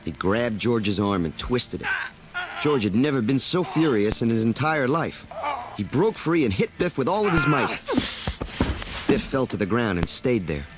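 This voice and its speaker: A man's voice: mid-range voice, very animated, normal speed, over music. A man narrating a children's storybook in a scripted read-along style.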